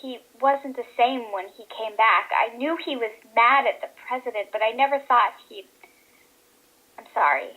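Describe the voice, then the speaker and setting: A woman's voice: a little high, expressive, normal speed, highs cut off. A woman playing a soldier's distraught young wife, faltering as she is interviewed for a radio drama. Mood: upset, faltering. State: upset.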